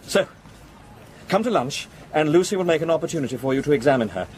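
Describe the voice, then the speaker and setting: An actor playing an anxious, well-bred young Victorian gentleman in an audio drama, formal and a little halting. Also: a little high, animated, natural voice. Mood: worried, discreet. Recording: faint background noise and hiss.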